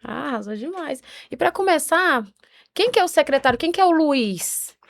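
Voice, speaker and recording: High-pitched Brazilian woman podcast host. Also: expressive, normal speed, gravelly, no echo. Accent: Brazilian, Goiás. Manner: chatty, exclamatory, slangy. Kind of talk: casual podcast hosting.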